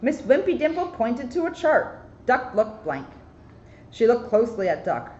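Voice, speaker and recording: A woman's voice: on the high side, very animated, normal speed, darkish tone. A woman police officer reading a picture book aloud expressively, with chatty, casual asides. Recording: roomy echo, muffled, faint noise.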